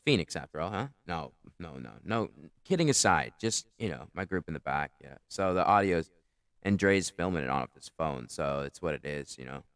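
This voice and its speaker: A man's voice: rumbling low, expressive, normal speed, a bit bright, smooth, no room sound, clean studio sound. A man with a powerful, carrying voice, casually reviewing his own comedy set in a rambling, profane, self-critical way. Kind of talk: casual commentary.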